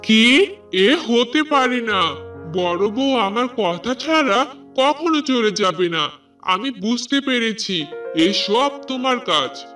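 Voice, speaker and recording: Man as a high, forceful village husband. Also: expressive, slow pace, far from the mic, faint music behind, heavily acted. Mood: suspicious, upset. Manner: loud, forceful, demanding. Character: indignant village husband of two wives. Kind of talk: cartoon voice acting.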